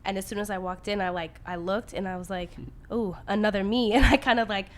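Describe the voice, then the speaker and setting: A woman's voice: medium pitch, steady tone, brisk, slight background noise. A chatty young woman in her twenties from Los Angeles, full of "like"s, chatting as a podcast guest. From Los Angeles, California. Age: 20s. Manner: chatty, many "like"s. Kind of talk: casual chat.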